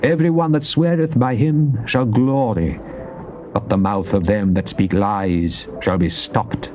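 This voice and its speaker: An actor playing a devout, fervent psalmist, declaiming in a solemn, measured way in a dramatized scripture reading. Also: male voice, fairly low pitch, steady tone, darkish tone, close to an everyday voice. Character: devout psalmist, fervent man praying to God. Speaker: scripture reader. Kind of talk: dramatized King James Bible reading. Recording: muffled old cassette with faint hiss.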